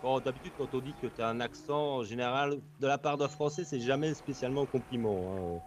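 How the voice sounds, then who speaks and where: A Belgian man with a Belgian French accent speaking on a radio call-in, in a halting way with many repeated words. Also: medium pitch, soft background music. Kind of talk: casual radio call-in chat.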